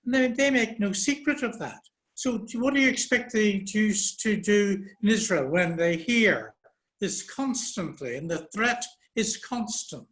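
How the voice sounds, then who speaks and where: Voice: medium pitch, animated, unhurried, fairly bright tone. An audience member pressing a question on a video call, in an insistent way. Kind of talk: online question.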